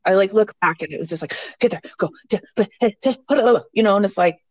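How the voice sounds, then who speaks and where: A woman guest in a casual mindfulness chat, candid and quick to laugh. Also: medium pitch, slightly rough, no echo. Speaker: podcast guest, mindfulness teacher. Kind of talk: casual conversation.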